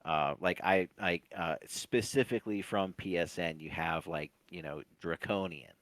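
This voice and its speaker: A man of about forty chatting casually as an interview guest, halting and often repeating his words. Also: sits low, a bit bright, no echo. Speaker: interview guest, competitive player. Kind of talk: casual chat, recollecting.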